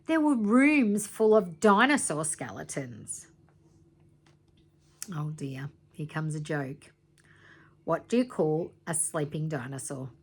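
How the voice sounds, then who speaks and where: A woman reading a children's story aloud in an expressive, dramatic teacherly way, with asides to young listeners. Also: deep voice, slow, pauses often, slightly rough. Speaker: teacher reading aloud to primary school students.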